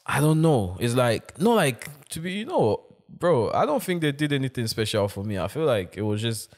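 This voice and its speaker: Man, London accent with Ghanaian touches, relaxed slang, casual podcast chat. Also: medium pitch. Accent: London English with Ghanaian touches. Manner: laid-back London slang, drawn-out storytelling. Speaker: podcast co-host.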